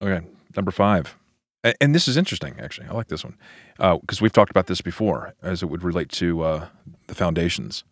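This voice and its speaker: American man, low voice, podcast host, pastor, thoughtful conversational questioning, dry sound. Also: expressive, a little fast, dry recording. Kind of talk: conversational interview.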